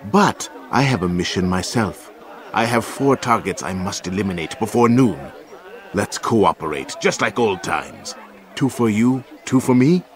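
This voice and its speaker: Chummy, animated assassin informant. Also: male voice, lowish voice. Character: warm, chummy male assassin informant, old comrade.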